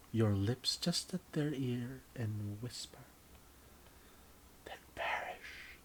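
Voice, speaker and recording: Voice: medium pitch, slight background noise. A narrator reading their own comic story in a mock-serious, theatrical, scripted style.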